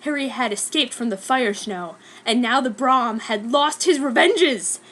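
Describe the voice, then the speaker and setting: A woman's voice: on the high side, animated, unhurried, fairly bright tone, a little hiss or hum. A woman giving a dramatic, mock-serious reading of a scripted story as narrator. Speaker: reader of a fan story.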